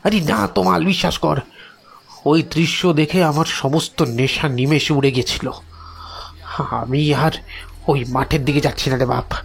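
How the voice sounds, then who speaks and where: A man playing a grave, spooked local drunkard in an audio drama, hushed and earnest, with a raspy voice. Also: on the high side, a little slow, exaggerated voice. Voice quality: raspy, creaky. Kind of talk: Bengali audio drama acting.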